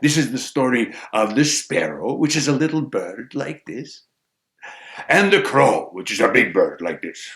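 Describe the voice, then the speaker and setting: Man acting old Russian grandfather, big deep voice, Russian accent, emphatic folk storyteller, animated pitch, echoing room. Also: measured pace. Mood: grand, storytelling. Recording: roomy, echoing space.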